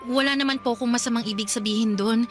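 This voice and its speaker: Casting: woman playing long-suffering Filipino daughter-in-law, young, very high voice, apologetic, radio drama with music bed. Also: fairly even intonation, quick pace, natural voice. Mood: hurt, defensive. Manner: pleading, apologetic, deferential.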